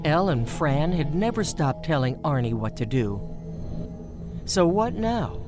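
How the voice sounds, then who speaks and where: A woman playing an ominous mystery theatre storyteller, narrating a scripted horror radio play in a measured way. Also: low-pitched, sing-song, over music.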